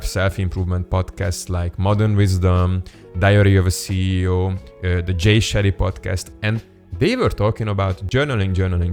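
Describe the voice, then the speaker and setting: A young man whose first language is Hungarian, talking earnestly and upbeat to camera in a casual vlog, with small laughs and restarts. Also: deep voice. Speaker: vlogger. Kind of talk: casual direct-to-camera talk.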